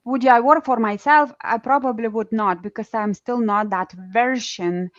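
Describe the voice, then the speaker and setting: A woman's voice: fairly high pitch, normal speed, dry recording, muffled recording. A woman with an Eastern European accent hosting a casual conversation, talking freely with many restarts and fillers. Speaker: podcast host.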